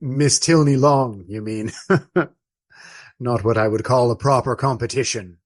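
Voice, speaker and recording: A man playing an old friar in a radio play, speaking in formal, ornate, deliberate phrases with gallant fondness. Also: medium pitch, lightly acted. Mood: gallant, fond. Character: courtly old Trappist friar, fond, wry, gallant storyteller.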